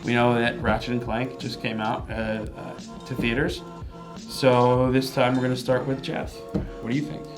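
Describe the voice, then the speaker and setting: A man's voice: medium pitch, flat delivery, normal speed. A man hosting and judging a casual gaming discussion show in a conversational style. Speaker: host and judge of a debate show. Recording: music playing behind.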